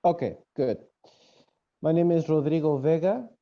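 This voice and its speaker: A young man from Texas introducing himself informally on a video call, in a measured, somewhat hesitant way. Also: fairly high pitch. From Texas, United States. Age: young adult. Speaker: translator on a panel. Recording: dull video-call audio.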